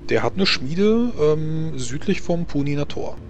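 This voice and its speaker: A man narrating as game master of a tabletop role-playing game, speaking haltingly as he thinks aloud. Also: fairly high pitch, expressive, lo-fi sound, faint music behind.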